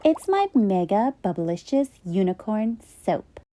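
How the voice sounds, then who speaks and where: A woman's voice: mid-range voice, sing-song, a little slow, mellow, voice pushed down. A woman voicing a neat, fussy, dainty female unicorn in a children's storybook. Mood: pleased. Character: neat, prissy female unicorn, proud of beauty. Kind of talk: children's storybook character acting.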